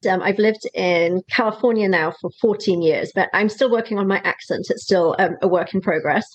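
A woman in her forties from Wales with a Welsh accent, chatting as a podcast guest in a relaxed, self-deprecating way with frequent fillers. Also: medium pitch, moderate pace, breathy edge, no room sound. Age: 40s. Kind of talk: conversational interview. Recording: slightly dull lo-fi dry sound.

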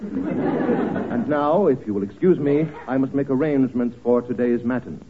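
An actor playing a pompous, sly theater manager with florid, overly genteel speech in a 1940s radio comedy. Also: male voice, medium pitch, measured pace, over a noisy crowd, lightly acted. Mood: wry, condescending. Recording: scratchy, tinny 1940s radio recording.

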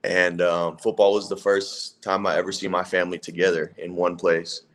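Man, 20s, from California, very deep voice, relaxed, casual interview guest. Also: normal speed. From California, USA. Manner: relaxed, laid-back, hedging with "if that makes sense". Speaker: guest, college football player.

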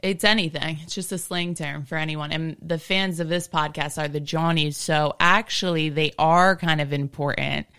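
A woman's voice: deep voice, fairly even intonation, moderate pace, few pauses, pushed and bright, clean tone. A woman hosting a podcast in casual chat, teasing and stretching out her words. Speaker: podcast host.

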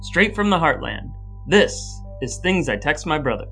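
A man's voice: a little high, very animated, normal speed, creaky, over music. A man hosting a podcast, moving between scripted introductions and casual banter. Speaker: podcast host.

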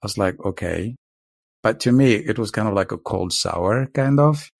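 A man's voice: lowish voice, animated, normal speed, vocal fry, no room sound, studio quality. A man from Morocco casually telling a couple's story, rambling and laid-back with lots of filler words.

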